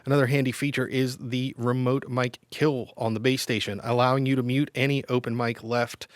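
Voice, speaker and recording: Male gear reviewer, middle-pitched, informal and explanatory, recorded dry. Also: flat delivery, fairly quick, no room sound. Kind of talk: semi-scripted product review narration.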